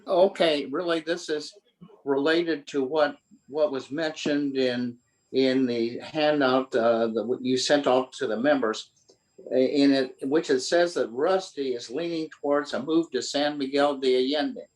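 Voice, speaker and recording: A man speaks up at an online meeting over a video call. He talks in a hesitant, restarting way, filling pauses as he searches for words. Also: mid-range voice, poor audio. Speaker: club member. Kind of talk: casual chat.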